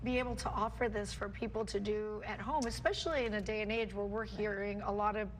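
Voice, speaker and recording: A woman's voice: medium pitch, steady tone, brisk, slight background noise. A woman working as a TV news host, asking questions in an engaged, informal, conversational style. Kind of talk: conversational broadcast interview.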